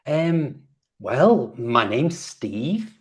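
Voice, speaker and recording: A man in his sixties from England with a Liverpool accent, chatting on a video call as a guest and explaining things slowly and gently. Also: medium pitch, sing-song. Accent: Liverpool English. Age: 60s. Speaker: guest, English teacher YouTuber. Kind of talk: friendly online conversation.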